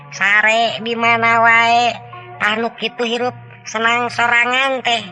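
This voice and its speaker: Super sharp slow teasing impish wise character, folk tale drama, music behind. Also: male voice, heavily acted. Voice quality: shrill, bright. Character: impish, cackling, wise riddle-explaining companion.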